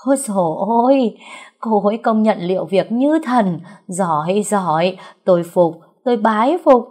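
Male household master and official voiced by a woman: effusive, deferential, exclaiming, somewhat husky, storybook delivery. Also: lowish voice, normal speed, mellow. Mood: impressed, effusive.

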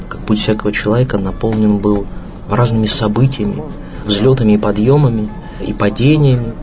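Low-voiced man, earnest, over music. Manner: measured, earnest, in short halting phrases. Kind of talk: reflective spoken tribute.

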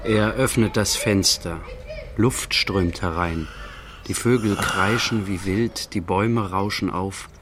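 An actor playing a sober narrator in a literary radio play, speaking in short, measured declarative phrases. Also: male voice, on the low side, background noise. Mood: lyrical observing. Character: sober male narrator observing an artist closely.

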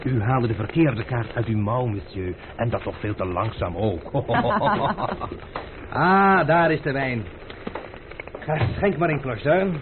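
An actor playing a cool, ironic Dutch gentleman gambler who teases and commands in an old radio drama. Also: mid-range voice, takes it slowly, phone-quality sound, close to an everyday voice. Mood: mocking. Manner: ironic, unruffled, teasing, commanding with servants. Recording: noisy, band-limited old radio play recording.

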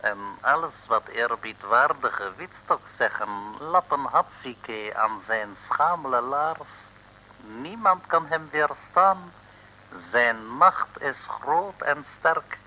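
An actor playing a sly, boastful villain in an old radio serial, speaking pompous, mock-humble broken Dutch and naming himself in the third person. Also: male voice, mid-range voice, expressive, takes it slowly, sharp tone, heavily acted. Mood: boastful, scornful. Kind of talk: 1950s-60s radio serial acting. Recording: noisy old radio broadcast recording.

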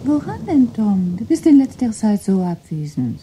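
An attentive wife in a radio play. Her voice is very mellow and soft-edged at a medium pitch, with faint storm noise behind. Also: very animated, moderate pace, poor audio. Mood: concerned, familiar. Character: attentive, familiar wife of a gentleman. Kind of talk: radio drama acting.

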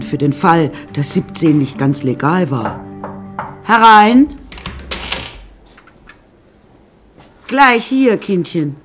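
A flamboyant woman telling her own story in a radio play. She is chatty and wry, full of theatrical asides, and her pitch swings in lively fashion. Music plays underneath her. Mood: breezy, confiding. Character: flamboyant, unsinkable woman recounting her many husbands. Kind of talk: radio play first-person narration.

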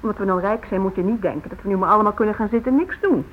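An actress playing a brisk, practical, mildly exasperated housewife and mother in a radio drama. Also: medium pitch, natural voice. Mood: brisk, chiding. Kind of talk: radio drama acting, domestic dialogue. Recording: dull old radio, faint noise.

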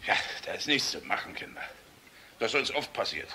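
A blunt, fatherly military general in a radio play. His voice is very bright and forceful, swinging in a lively way between hearty command and sardonic asides, with faint noise behind it. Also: mid-range voice, a little fast. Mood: brisk, commanding. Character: jovial, outspoken Luftwaffe general, blunt and fatherly. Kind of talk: German radio drama acting.

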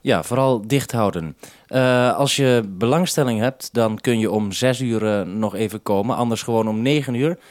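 A man's voice: medium pitch, normal speed, a little mellow, no room sound, clean studio sound. A man interviewing over two-way radio as the programme maker at base, giving practical instructions and using formal call procedure. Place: sheltered base station.

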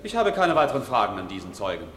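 A man's voice: mid-range voice, very animated, fast, a crowd in the background, lightly acted. A man acting an eloquent, insistent defence lawyer in a 1950s courtroom radio drama, with formal, pressing rhetorical questions. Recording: echoey hall, faint hiss, old radio recording.

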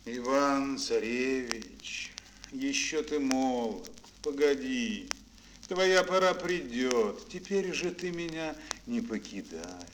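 An actor playing an aged, stately fatherly tsar in a fairy-tale audio play, speaking in solemn, measured declamation. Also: mid-range voice, expressive, slight background noise, poor audio. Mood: pleading, tender. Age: older.

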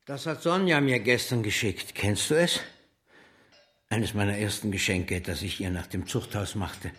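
An actor playing an ardent, proud male socialist leader in a German radio drama, fervent and slightly husky. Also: on the low side, moderate pace, a bit bright.

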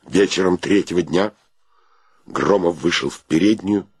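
An actor narrating a comic literary tale as the storyteller of a radio play. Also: male voice, deep voice, a little slow, breathy edge, no echo, full character voice. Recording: brass music behind the voice.

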